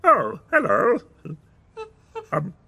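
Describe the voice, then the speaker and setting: An actor voicing a bumbling grown man in a cartoon, mumbling with sudden squeaky exclamations. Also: wildly swooping pitch, very slow, gravelly, sharp tone, breathy edge, dry recording, exaggerated voice. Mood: fussy.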